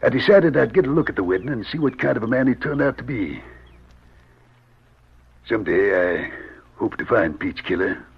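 Gravelly, weary old fugitive cowboy. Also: male voice, medium pitch, expressive, moderate pace, heavily acted. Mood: resigned. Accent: American. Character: weary, gruff older fugitive cowboy, wronged and hopeful. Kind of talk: old-time radio western acting. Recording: dull old radio recording.

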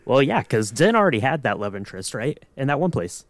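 A man's voice: medium pitch, very animated, quick pace. A man co-hosting a podcast in casual, joking chat. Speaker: podcast co-host.

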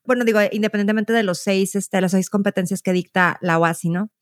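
A woman's voice: mid-range voice, steady tone, a little fast, dry recording, clean studio sound. A woman with a Mexican Spanish accent, casually putting questions to a guest as a podcast host.

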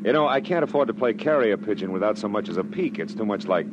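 An actor playing a tough, wry, streetwise private detective in clipped, wisecracking 1940s radio style. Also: male voice, lowish voice, expressive, quick pace, background noise, lightly acted. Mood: wry, guarded. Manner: clipped, wisecracking, hard-boiled. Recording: muffled old radio recording with music.

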